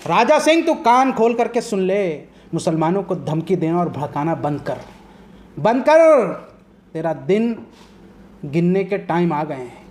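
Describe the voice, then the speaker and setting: A man's voice: high-pitched, very animated, a little slow, darkish tone. A man arguing as a political commentator in a heated TV debate, impassioned and combative, firing off rhetorical questions.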